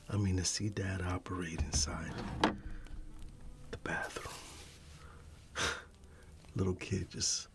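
A middle-aged man doing an ASMR roleplay, whispering and soft-spoken, reminiscing unhurriedly with quiet chuckles. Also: low-pitched, slight background noise. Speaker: ASMR creator. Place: dim indoor room. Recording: soft music in the background. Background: music.

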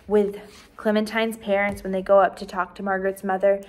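A woman in her twenties reading a children's book aloud as a teacher, with expressive, clearly paced narration. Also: medium pitch, a little fast. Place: small decorated indoor room.

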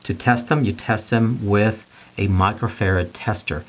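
A man's voice: lowish voice, steady tone, unhurried. A middle-aged man teaching HVAC to camera in front of a whiteboard, plain-spoken, who repeats key points and asks and answers his own questions. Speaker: HVAC instructor.

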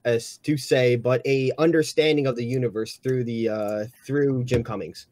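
A man's voice: mid-range voice, fairly even intonation, moderate pace, a little mellow, slight background noise. A man chatting and joking casually with friends on an online group call. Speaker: member of a comic dub cast.

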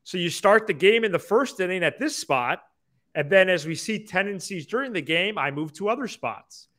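A young man hosts a baseball podcast with a bright, forward voice pitched a little high. He lays out his analysis casually, point by point, close to a desk microphone. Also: lively intonation, moderate pace. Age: young adult. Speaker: sports podcast host. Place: small indoor room, video call.

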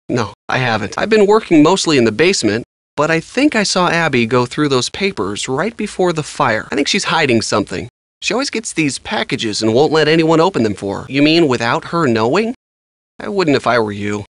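A man's voice: mid-range voice, no echo, close to an everyday voice. A man voicing a casual, evasive handyman in a video game, with a breezy, informal manner. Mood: casual, confiding. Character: casual, evasive handyman living secretly in a mansion. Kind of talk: video game character dialogue.

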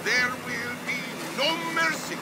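An actor playing a fierce Soviet officer, delivering a merciless, emphatic rallying speech in a war video game. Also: male voice, very high voice, exaggerated voice. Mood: fervent. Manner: declamatory, emphatic, halting phrases. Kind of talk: shouted battlefield oration. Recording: loud boat engine and battle noise.

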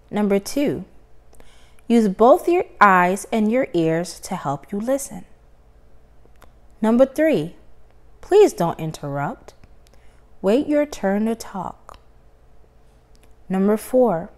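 A woman reading a children's story aloud with expressive, deliberate, emphatic phrasing. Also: medium pitch.

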